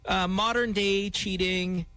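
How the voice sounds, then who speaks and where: Male radio host. Chatty and joking, drops Tagalog phrases. Fairly high voice, dry studio sound. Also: fairly even intonation, slow pace, pushed and bright, smooth, no echo. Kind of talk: casual morning radio chat.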